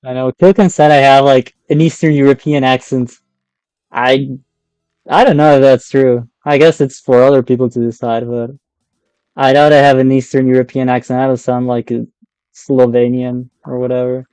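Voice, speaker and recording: A man with an Argentinian accent and Spanish as his first language talks in a loose, conversational way while live streaming a game. His voice is mellow and smooth, sitting at an ordinary pitch. The sound is close and dry, with very little room on it. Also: flat delivery, moderate pace, no room sound, poor audio. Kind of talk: casual live stream chat while gaming.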